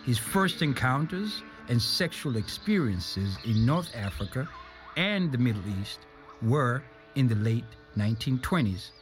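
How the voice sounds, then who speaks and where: A man's voice: medium pitch, very animated, unhurried. A man reads scripted documentary narration in the role of narrator. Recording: music behind the voice. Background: music.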